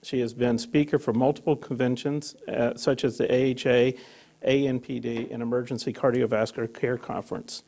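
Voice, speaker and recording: Gravelly man reading an award citation. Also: mid-range voice, steady tone, fairly quick, darkish tone. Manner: plain reading with small fillers and restarts. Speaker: presenter of an employee award. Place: meeting room.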